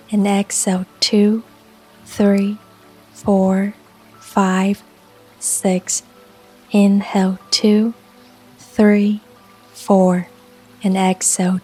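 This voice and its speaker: A woman narrating a scripted guided meditation in a calm, soothing, unhurried way, with long pauses between phrases. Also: mid-range voice, rough recording. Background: soft music and rain sounds.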